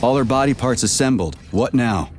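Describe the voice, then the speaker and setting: An actor playing a brash, foul-mouthed soldier agent in a video game cutscene, blunt and profane. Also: male voice, medium pitch, lively intonation, moderate pace, slight background noise, lightly acted. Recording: loud music behind.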